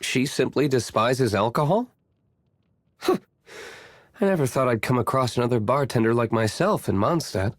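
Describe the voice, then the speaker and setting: An actor playing an aloof, brooding young nobleman warrior in a video game, reserved and formal, speaking in measured, curt lines. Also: medium pitch, animated, dry recording, clean studio sound, close to an everyday voice.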